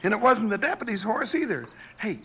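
A man playing an excitable, folksy sidekick in a 1950s radio western, with a high, raspy voice and an American Western drawl. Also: a little fast, heavily acted. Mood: excited, suspicious. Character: excitable folksy old-West sidekick of the marshal.

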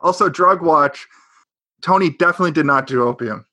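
A man's voice: fairly high pitch, a little fast, bright, forceful tone, dry recording. A man co-hosting a podcast, chatting casually about an old sitcom, rambling and correcting himself, with a sarcastic edge. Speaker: podcast co-host. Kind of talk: casual chat recapping a sitcom.

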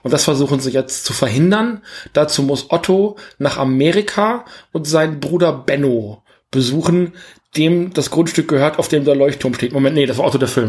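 A man's voice: medium pitch, a little mellow. A man hosting a podcast, chatting casually about films in a rambling, jokey, self-correcting way. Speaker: podcast host. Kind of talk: casual film review chat.

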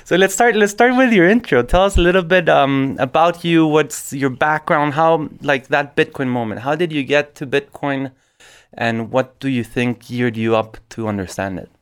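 A man hosting a podcast interview, friendly and a little hesitant, filling pauses with uhs. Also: a little high, smooth. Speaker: podcast host. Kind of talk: interview hosting, switching between Spanish and English.

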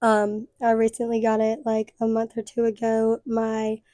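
A young woman hosting a casual chat, upbeat and full of fillers, her voice a little hoarse from losing it. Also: a little high, completely flat delivery, moderate pace, dark tone, dry recording. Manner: chatty, upbeat, full of "like" and "literally". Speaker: podcast host.

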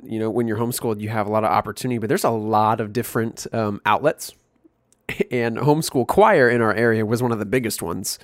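A man in his twenties from Missouri, co-hosting a podcast and telling stories in a relaxed, chatty way with frequent chuckles. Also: on the low side, no room sound, studio quality. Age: 20s. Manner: laid-back storytelling with frequent chuckles. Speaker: podcast co-host. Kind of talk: casual chat.

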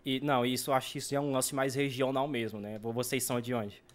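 A man's voice: mid-range voice, level tone, quick pace. A man with a northeastern Brazilian accent from Ceará, chatting casually and telling anecdotes in slang, repeating phrases for emphasis. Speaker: podcast guest, YouTuber and gamer.

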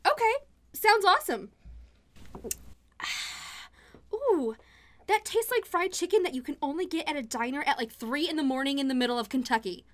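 Woman as ditzy sweet young woman, very high voice, swooping intonation, rambling, comic radio play. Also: animated, moderate pace, pauses often, a bit bright. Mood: dreamy, ditzy. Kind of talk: comedic audio drama acting.

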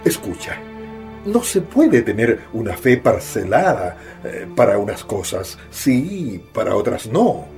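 A man's voice: fairly low pitch, big pitch swings, slow, a little mellow, soft background music, full character voice. A man playing a devoted, tender father in a radio drama, affectionate and coaxing in his delivery. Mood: pleading, emotional.

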